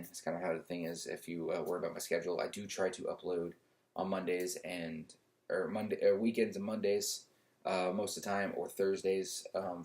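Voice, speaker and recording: Man, young adult, low voice, monotone, loud, indignant home vlog rant. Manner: indignant, repetitive, restarts often. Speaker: YouTuber. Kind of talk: casual opinion rant to camera.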